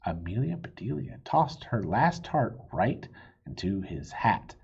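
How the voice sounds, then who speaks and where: A man reading a children's story aloud in a playful, expressive way, with a husky voice. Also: fairly low pitch, a little slow, muffled recording. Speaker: storybook reader.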